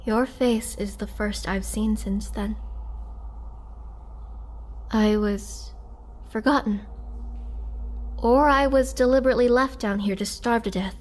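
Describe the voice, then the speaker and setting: Slow, halting vampire woman prisoner, acted by a woman. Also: a little high, fairly even intonation. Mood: bitter, wry. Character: lonely immortal vampire woman prisoner, young-looking, wry and pleading. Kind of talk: dramatic girlfriend roleplay audio acting.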